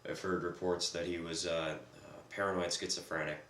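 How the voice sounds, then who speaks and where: A man recovering from a cold, hoarse and hesitant, filling pauses with ums as he co-hosts a casual discussion. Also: low voice, flat delivery, a bit bright. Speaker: co-host. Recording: echoey room, distant mic, faint noise.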